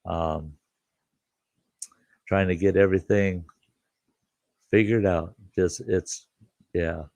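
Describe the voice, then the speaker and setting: A slow-talking older man hosts a casual live chat, sounding congested and chuckling as he rambles. His voice is very low and gravelly, recorded close and dry. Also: no echo. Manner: relaxed, rambling, chuckles often, greets viewers by name. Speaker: livestream host.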